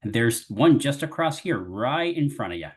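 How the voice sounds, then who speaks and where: A man voicing a friendly, chatty little male worm with homely, rough colloquial speech. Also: medium pitch, sing-song, moderate pace. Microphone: video call.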